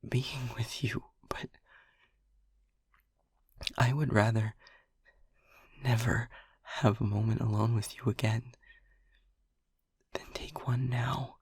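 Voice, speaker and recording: Guy doing a nervous technician lover, husky creaky whisper, slow and hesitant, dry close mic. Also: mid-range voice, fairly even intonation, dry recording, heavily acted. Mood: pleading. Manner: hesitant and stammering, pleading. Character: anxious, devoted male technician lover, whispering at night. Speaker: audio roleplay performer. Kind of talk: intimate whispered audio roleplay.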